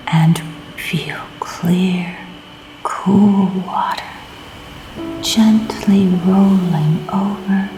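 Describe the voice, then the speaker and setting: Very slow, breathy woman guiding meditation. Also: lowish voice, poor audio. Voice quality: soft, breathy, often near-whispered. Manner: calm, soothing, deliberate short phrases with long pauses. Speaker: guided meditation narrator. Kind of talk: scripted guided meditation. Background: music.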